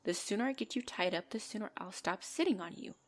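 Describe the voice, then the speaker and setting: A woman's voice: mid-range voice, fast, lightly acted. A woman voicing a possessive female centaur in an ASMR roleplay, bantering and commanding, with dry exasperation. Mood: exasperated, bossy. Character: possessive female centaur, bossy, teasing, half-gentle captor.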